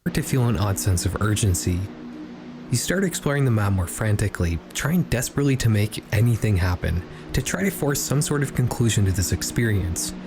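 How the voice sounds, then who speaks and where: A man reading scripted video essay narration in a measured, storytelling manner. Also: fairly low pitch, animated, music in the background. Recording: clearly noisy ambient background.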